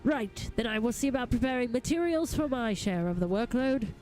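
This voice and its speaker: Purposeful quest-giving craftsperson read by a woman, orderly and formal, fairly high voice. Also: expressive, a little fast, darkish tone, faint music behind, close to an everyday voice. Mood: businesslike. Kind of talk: voiced video game dialogue.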